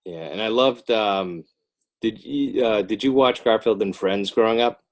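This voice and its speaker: A man's voice: fairly low pitch, unhurried. An American man talking casually as an interview guest, rambling and joking in a self-deprecating way. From northern New Jersey, United States. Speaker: podcast guest, author and illustrator. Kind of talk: casual conversational interview chat.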